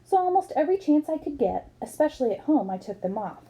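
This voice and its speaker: A woman's voice: sits high, very animated, fast, dark tone. A woman reading a book aloud expressively, as for children, acting up the dialogue. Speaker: book reader.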